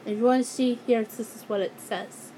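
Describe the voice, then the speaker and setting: A woman ranting angrily in a vlog, hoarse and losing her voice, often breaking off and restarting her sentences. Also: a little high, steady tone, moderate pace, warm tone, slight background noise, low-quality recording. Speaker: YouTuber.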